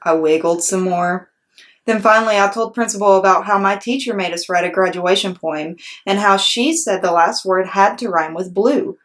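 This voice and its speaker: A woman narrating a children's storybook as a read-aloud storyteller, animated and clearly phrased for young listeners. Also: mid-range voice, normal speed.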